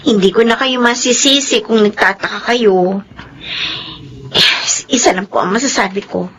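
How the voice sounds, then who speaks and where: An actress in a Filipino radio drama playing an eager, caring older sister and devoted daughter with expressive delivery. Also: female voice, fairly high pitch, moderate pace, natural voice. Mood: earnest.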